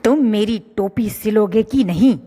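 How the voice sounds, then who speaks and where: A woman's voice: mid-range voice, expressive, slow pace, darkish tone, no room sound, clean studio sound. A woman voicing a cheeky, bold little male mouse in a children's story, demanding and chanting threats in a sing-song way. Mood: angry. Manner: sing-song chanting, pleading then defiant.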